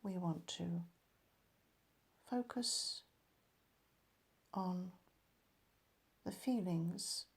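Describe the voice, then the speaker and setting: A woman leading a guided meditation as a teacher, calm and measured, letting her phrases end softly. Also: lowish voice.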